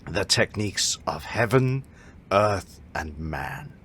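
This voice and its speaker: A man voicing an aging martial arts master in an amateur audio drama, calm, formal and solemn, instructing in a measured way. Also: low voice, a little hiss or hum, exaggerated voice. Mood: solemn, instructive.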